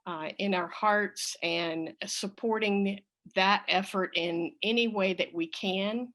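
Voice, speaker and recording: A woman speaks as a service leader, at a middling pitch. She gives informal announcements to a congregation, addressing them directly. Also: fairly bright tone.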